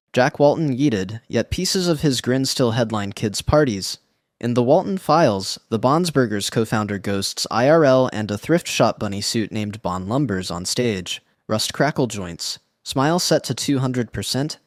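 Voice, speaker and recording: Male narrator, mid pitch, wry deadpan read, dry booth sound. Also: dry recording. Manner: slangy, wry deadpan delivery. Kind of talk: scripted internet narration.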